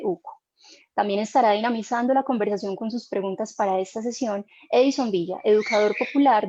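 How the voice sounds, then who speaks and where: A woman moderating an online panel, reading a formal introduction in a measured way. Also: on the high side, dark tone, highs cut off.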